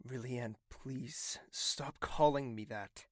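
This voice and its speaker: A woman acting a brooding, evasive young royal in an audio drama, husky and guarded but insistent. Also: extremely low pitch, slow pace, dry recording, clean studio sound, heavily acted. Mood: insistent, defensive.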